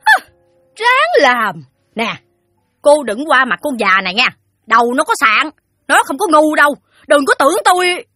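A bullying, shrewish middle-aged loan-shark woman in an audio drama. Her Southern Vietnamese voice is piercing and sharp, swinging wildly in sneering indignation, in a dry recording. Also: sits high, sing-song, a little slow, no room sound, soft background music, exaggerated voice. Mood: scornful, loud. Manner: sneering, shrewish, haughty scolding. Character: fat, shrill, sour loan-shark madam, crude and bullying. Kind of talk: audio story drama dialogue.

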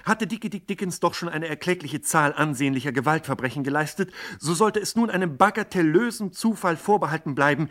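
A man's voice: a little high, expressive, a little fast, hardly any pauses, fairly bright tone. A man narrating a comic radio crime serial with pedantic, theatrical mock drama.

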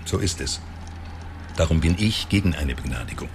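Stern legal official, really low voice, measured and sceptical, radio play, noisy background. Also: male voice, lively intonation. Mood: sober, sceptical. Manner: measured, matter-of-fact. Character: sober, formal male justice official, sceptical. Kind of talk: radio drama dialogue.

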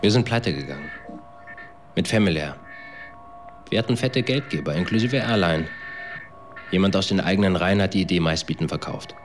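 A man's voice: low voice, lively intonation, a little fast, pauses often, soft background music. An actor playing a bitter, slangy failed businessman in a radio drama, talking bluntly and colloquially. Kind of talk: naturalistic radio drama testimony.

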